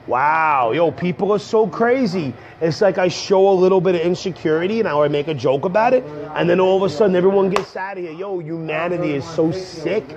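A young man, hoarse from a cold, rambling casually to viewers on a livestream from a coffee shop. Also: high-pitched, a little fast, few pauses, a little mellow. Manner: rambling, slangy, talks straight to viewers. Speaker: comedian livestreaming. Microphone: earbud headset mic on a phone. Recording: dull lo-fi phone stream.

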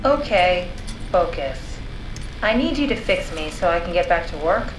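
A woman acting a brisk, matter-of-fact scientist living as a robot in a video game. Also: on the low side, expressive, roomy sound, noisy background, close to an everyday voice. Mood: businesslike.

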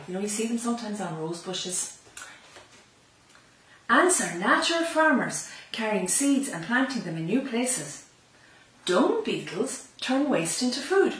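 Echoey Irish woman reading a children's story. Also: on the high side. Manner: expressive, with chatty asides to young listeners. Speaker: librarian reading a children's picture book. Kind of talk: storybook read-aloud for young children. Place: small library room lined with bookshelves. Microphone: camera a few metres away. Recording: echoey room, slightly distant mic.

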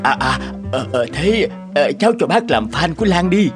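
A man's voice: fairly high pitch, very animated, moderate pace, music in the background. A man voicing a humble, devoted middle-aged father in a cartoon, hesitant and earnest. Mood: hesitant, eager. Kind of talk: animated cartoon dialogue.

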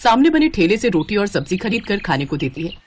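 Female narrator, mid-pitched, scripted storybook delivery for moral tales. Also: sing-song, fairly quick, darkish tone.

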